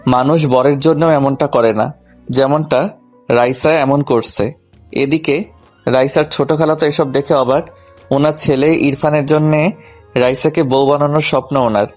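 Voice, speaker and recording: Casting: male storyteller, average pitch, colloquial and humorous first-person narration, music bed. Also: steady tone, unhurried, a little mellow. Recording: music behind the voice.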